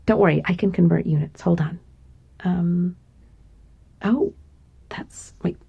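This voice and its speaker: Breathy, doting giantess secretary, played by a woman. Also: lowish voice, slow, dull audio, exaggerated voice. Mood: tender, attentive. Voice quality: breathy, soft, often slipping into whisper. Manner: tender, coy, hesitant, giggly asides. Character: gentle giantess secretary, doting, teasing, soothing, grown woman. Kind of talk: ASMR roleplay, intimate one-sided conversation.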